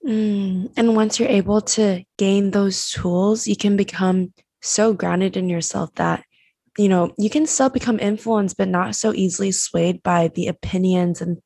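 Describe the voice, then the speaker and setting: A young woman in her early twenties, from Taiwan, hosts a conversation. She speaks reflectively and appreciatively, filling pauses with "like" and "you know". Her voice is at a middle pitch and the sound is dry. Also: fairly even intonation, creaky, breathy edge, dry recording. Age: early 20s. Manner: warm, appreciative, reflective, uses many fillers. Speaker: host, college student. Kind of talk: conversational interview.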